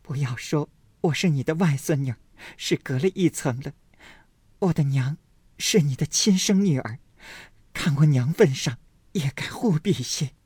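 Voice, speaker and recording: A man voicing a frail young noblewoman who pleads through tears in a high voice. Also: lively intonation, unhurried, long pauses, darkish tone, slightly rough, no room sound, studio quality, exaggerated voice. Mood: weeping, pleading. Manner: high pleading voice, sobbing appeals.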